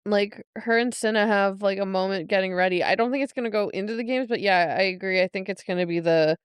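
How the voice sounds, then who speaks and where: A woman's voice: medium pitch, fast, clear, resonant tone, no room sound. A woman co-hosting a podcast, talking casually and conversationally. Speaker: podcast co-host. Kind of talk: casual chat.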